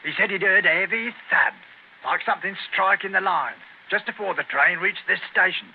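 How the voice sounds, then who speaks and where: A man's voice: high voice, moderate pace, gravelly. An actor playing an obliging, plain-spoken railway man in a 1930s radio drama, deferential and folksy. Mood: matter-of-fact. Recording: scratchy, tinny 1930s radio recording.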